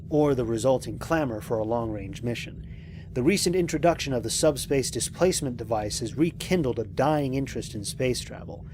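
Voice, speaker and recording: A man playing a lone spaceship commander and scientist in an audio drama, recording mission logs in a measured, reflective, precise way. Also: medium pitch, noisy background, close to an everyday voice. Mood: wry, wistful. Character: lone male spaceship commander, thoughtful scientist, quietly amazed.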